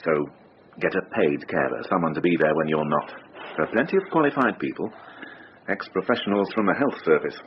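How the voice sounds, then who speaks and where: Male medical consultant, radio drama: low, measured, pointed, on a slightly dull recording. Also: animated, slight background noise. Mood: measured, prickly. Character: measured male hospital consultant, courteous but prickly.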